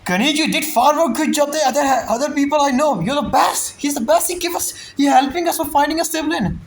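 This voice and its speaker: Male gaming streamer, high voice, casual interjections, repeated short phrases, faint background noise. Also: quick pace. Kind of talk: casual game reaction commentary.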